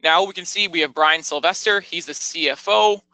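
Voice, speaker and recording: Bright-voiced man giving a software walkthrough. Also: a little high, normal speed, no room sound. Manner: explanatory, step-by-step, informal. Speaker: software product demonstrator. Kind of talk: conversational product tour narration.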